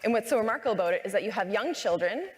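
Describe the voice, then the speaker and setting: A woman gives earnest closing remarks on a conference panel, her pitch in a middle range. She doubles back on words as she talks. A long reverb from a big hall hangs on her voice. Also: animated, racing.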